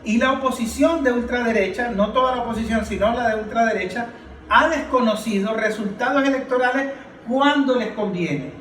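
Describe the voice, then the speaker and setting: A man's voice: very high voice, normal speed, fairly bright tone, roomy sound, distant mic, slight background noise, poor audio. A man giving impassioned political commentary as a podcast panelist, emphatic and declamatory, repeating phrases for force.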